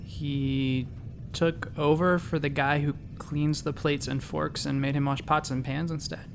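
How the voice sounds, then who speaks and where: A man's voice: a little high, little pitch movement, unhurried, gravelly, a little hiss or hum. A man playing a polite, hesitant young space-station doctor with dry incredulity, in a sci-fi comedy audio drama. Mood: dryly puzzled. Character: polite, flustered newly arrived male station doctor.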